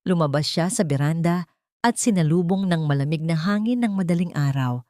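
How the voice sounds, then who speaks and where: A woman's voice: on the low side, normal speed, dark tone, no echo, studio quality. A Filipino woman reading a scripted dramatic story as its narrator.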